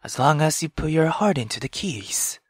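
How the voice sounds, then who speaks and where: A performer voicing a gentle, fatherly nobleman who speaks in a soft, reassuring way in a visual novel. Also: medium pitch, animated, normal speed, breathy edge, no echo, studio quality. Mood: tender, encouraging. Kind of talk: visual novel character read aloud.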